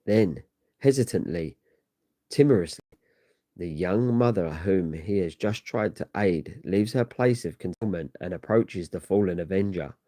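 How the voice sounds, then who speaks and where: A man's voice: deep voice, expressive, unhurried, dark tone. A man giving a theatrical, grandiose scripted narration of a comic-book story. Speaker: narrator of a comic read-along.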